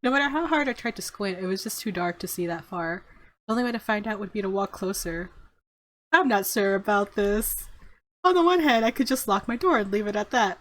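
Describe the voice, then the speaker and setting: A woman's voice: medium pitch, expressive, fairly quick, lightly acted. A woman voicing a nervous, curious young protagonist narrating a visual novel in the first person. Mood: nervous, suspenseful. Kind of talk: read-aloud first-person story narration.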